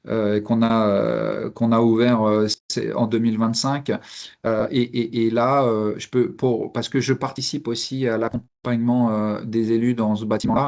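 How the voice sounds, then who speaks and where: A man speaking spontaneously as a panelist in a professional discussion, halting and often repeating words as he explains. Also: medium pitch, gravelly, darkish tone. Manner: hesitant, halting delivery with frequent repeated words. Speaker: fire service officer and trainer speaking as panelist.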